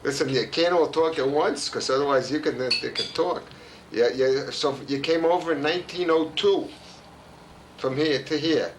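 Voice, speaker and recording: A man's voice: a little high, normal speed, noisy background. Teasing middle-aged man asking questions. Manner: teasing, restarts his questions. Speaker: interviewer, son-in-law. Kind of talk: home video family interview. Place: home in a retirement community.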